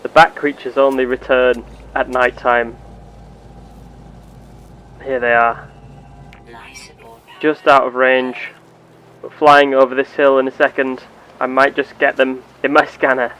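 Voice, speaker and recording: A man narrating his gameplay in character as an earnest, curious starship captain, with formal, deliberate wording. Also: medium pitch, level tone, a bit bright, slight background noise, rough recording. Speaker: player of a roleplay game series.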